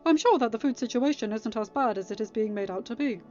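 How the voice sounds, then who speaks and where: Storybook duke woman reader, pompous doubter, formal delivery, high voice, slightly lo-fi. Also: expressive, quick pace, mellow, soft background music, natural voice. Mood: skeptical, pointed. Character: pompous, skeptical male duke on a council.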